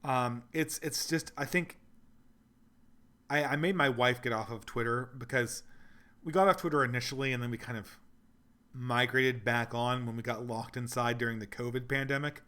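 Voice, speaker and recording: A man's voice: mid-range voice, normal speed, sharp tone. A man hosting a casual livestream Q&A, losing his voice, rambling earnestly and thinking aloud as he answers viewers. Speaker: livestream host reading donations.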